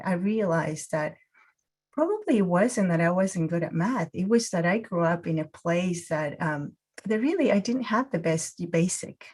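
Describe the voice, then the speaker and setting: A woman's voice: lowish voice, darkish tone. Reflective woman teaching mindfulness. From Peru. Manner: reflective, many fillers and restarts. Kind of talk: guided mindfulness talk.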